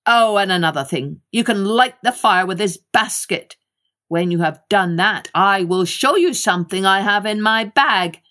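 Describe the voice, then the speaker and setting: A woman's voice: mid-range voice, lively intonation, unhurried. Woman playing bossy, grumbling Father Grumbler. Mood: demanding. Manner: loud, commanding, scolding.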